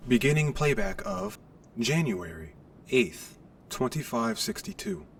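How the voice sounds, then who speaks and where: A man's voice: medium pitch, wildly swooping pitch, unhurried, creaky, recorded from a distance, a little hiss or hum, pitched above the performer's own voice, exaggerated voice. A man voicing an impersonal spaceship computer that makes clipped, formal announcements in a sci-fi audio drama.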